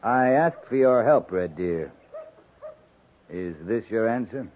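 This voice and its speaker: An actor playing a steady, courteous middle-aged American cavalry captain in a 1950s radio western, measured and authoritative. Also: male voice, lowish voice. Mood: stern, commanding. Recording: muffled, noisy 1950s radio recording.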